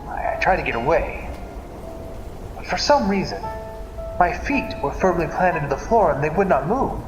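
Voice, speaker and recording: A man narrating a scripted story in a theatrical, deliberate manner, like an old-time radio serial. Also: a little high, very animated, highs cut off. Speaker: narrator of a dream story series. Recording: echoey reverberant hall, loud music behind. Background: music.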